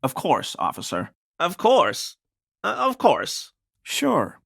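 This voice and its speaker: A man's voice: sits high, huge pitch swings, slow pace, vocal fry, a bit bright, slightly rough, full character voice. A male actor playing a nervous, apologetic townsman in a video game, pleading and hesitant as he answers to the police. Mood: apologetic, obliging. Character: nervous, grovelling small-time bigoted townsman under police questioning. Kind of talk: scripted video game character dialogue.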